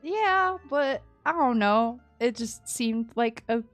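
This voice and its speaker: A woman's voice: a little high, lively intonation, slow, soft background music. A woman livestreaming a game with casual, gushing, giggly and slangy commentary.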